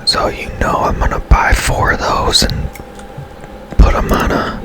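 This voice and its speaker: A man whispering softly and casually as he gives rambling, unhurried commentary on a video game for ASMR. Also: very high-pitched, fairly even intonation. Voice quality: soft unvoiced whisper. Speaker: game streamer.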